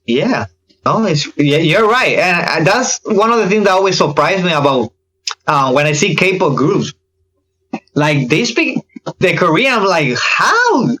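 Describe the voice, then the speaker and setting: Animated man with a higher-pitched voice. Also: a little slow, low-quality recording. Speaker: podcast guest, K-pop reaction video creator. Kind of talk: casual podcast chat.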